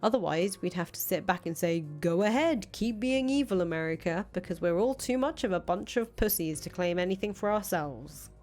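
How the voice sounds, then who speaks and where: A woman's voice: on the low side, animated, moderate pace, few pauses, darkish tone, close to an everyday voice. A woman voicing a brash, grandiose young revolutionary leader in a visual novel, foul-mouthed, boastful and emphatic. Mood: preachy, certain. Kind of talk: visual novel character voice reading. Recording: music playing behind the voice.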